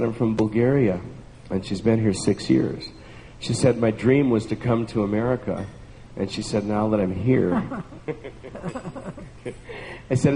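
A man's voice: mid-range voice, mellow. A man in a group telling an enthusiastic personal story and laughing between phrases. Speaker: group participant. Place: large room with a group. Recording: distant echoey hall, faint hiss.

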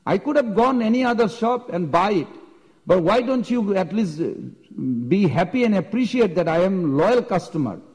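A man's voice: high voice, expressive, normal speed, a little mellow. A man voicing a proud, argumentative young Brahmin boy who haggles insistently and provokes in a devotional story. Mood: proud, demanding. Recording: long echoing hall reverb.

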